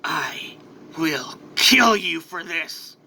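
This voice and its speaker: A man playing an anguished, vengeful warrior father in an animated-style story, with dramatic, strained declarations. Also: fairly high pitch, drawn-out words, vocal fry, slight background noise, full character voice. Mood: seething rage.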